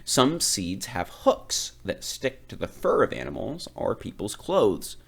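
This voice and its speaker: A man reading a children's picture book aloud, phrasing it deliberately and with expressive emphasis. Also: mid-range voice, gravelly, husky. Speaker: read-aloud reader.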